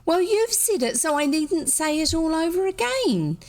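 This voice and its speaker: Woman doing a prim little girl, shrill, scornful, very high storybook voice. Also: very animated, moderate pace, a little mellow, full character voice.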